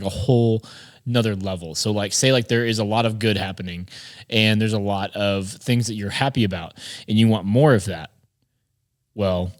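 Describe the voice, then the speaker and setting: Low-voiced man, casual motivational podcast host. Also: no room sound, studio quality. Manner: informal, conversational, addressing listeners directly. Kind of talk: casual motivational business talk.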